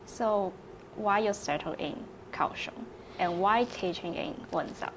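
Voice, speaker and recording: A woman's voice: medium pitch, unhurried, noisy background. A woman hosting an interview, asking short, simple questions. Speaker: interview host.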